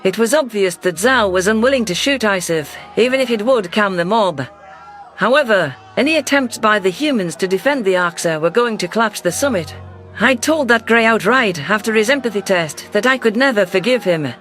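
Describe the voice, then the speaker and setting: A man narrating a science fiction audio story in an even, scripted delivery. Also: high voice, a little fast, faint music behind.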